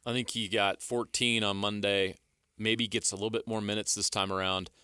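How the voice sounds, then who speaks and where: Male radio co-host, lowish bright voice, casual sports chat, dry studio sound. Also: level tone, normal speed, clean tone, no echo. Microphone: close studio microphone.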